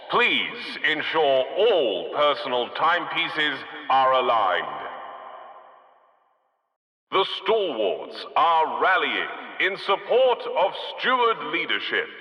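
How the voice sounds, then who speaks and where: A man voicing a formal, dry city loudspeaker announcer in a game, reading public announcements in a bureaucratic, deadpan manner. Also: fairly high pitch, echoey room, big-room sound, lo-fi sound, exaggerated voice.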